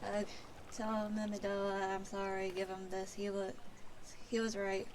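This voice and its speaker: Woman acting a halting, desperate, entranced soul. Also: mid-range voice, little pitch movement, a little mellow, a little hiss or hum, lightly acted. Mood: entranced, pleading. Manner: halting, pleading. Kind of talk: game dialogue read aloud.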